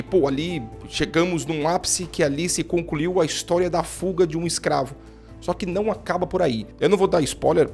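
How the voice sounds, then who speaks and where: Brazilian guy doing a chatty movie review, highish voice, bit of music faint behind him. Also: darkish tone. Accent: Brazilian Portuguese. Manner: opinionated, repeats and restarts phrases while thinking aloud. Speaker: film critic. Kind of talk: casual spoken film review.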